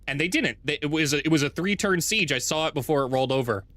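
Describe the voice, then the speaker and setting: A man with a deep voice streaming a strategy game from home, chatting casually, thinking aloud and correcting himself as he plays. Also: animated, fast talker, a bit bright, dry recording. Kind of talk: casual gameplay livestream chat. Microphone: desk mic with noise gate.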